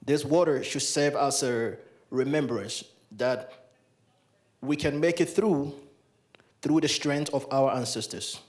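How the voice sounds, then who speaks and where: A man's voice: medium pitch, slow. A young man from Ghana leading a libation ceremony in a large hall, speaking into a handheld mic with solemn, repeated invocations. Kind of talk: ceremonial address.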